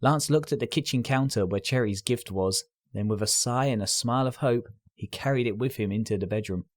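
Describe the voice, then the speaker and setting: A man reading a scripted story aloud as narrator, in an expressive, earnest and dramatic storytelling style. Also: medium pitch, fairly quick, dry recording, clean studio sound.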